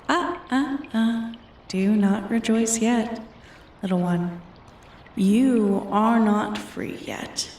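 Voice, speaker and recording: Slow, echoing, menacing dragoness, acted by a woman. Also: medium pitch, gravelly, a little mellow, distant mic. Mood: toying, ominous. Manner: taunting, imperious, slow and deliberate. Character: bored, menacing female dragon toying with a human thief. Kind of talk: roleplay ASMR, scripted character acting.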